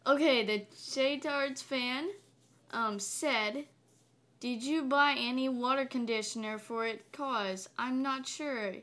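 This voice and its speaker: Slow, hesitant woman with a deep voice. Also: sharp tone. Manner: hesitant, many ums and restarts, reads slowly. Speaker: vlogger reading viewer comments. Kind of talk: casual home video chat.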